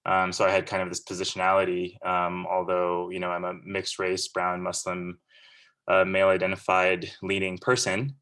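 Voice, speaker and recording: A man's voice: low voice, completely flat delivery, normal speed, bright, forceful tone. A man in his thirties from Central California, giving a casual, earnest and rambling guest lecture over a video call. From Central California, USA. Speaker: guest speaker.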